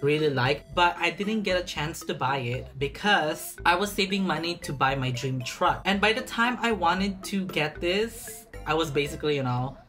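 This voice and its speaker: A man's voice: fairly high pitch, expressive, normal speed, few pauses, pushed and bright, soft background music. A young man chatting casually while unboxing a bag in a small apartment room, sassy and dramatic, stretching words for emphasis. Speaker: vlogger.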